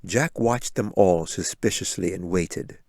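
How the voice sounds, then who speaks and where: A man's voice: low voice, measured pace, darkish tone, no echo, clean studio sound. A man reading a fantasy audiobook as a scripted story narration. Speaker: author reading own audiobook.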